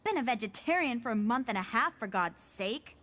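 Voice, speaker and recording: A woman playing an excitable, sarcastic teenage girl in a comic drama, with a whiny delivery. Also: on the high side, brisk. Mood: sulky, sarcastic. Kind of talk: motion comic voice acting. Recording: voice over very loud music.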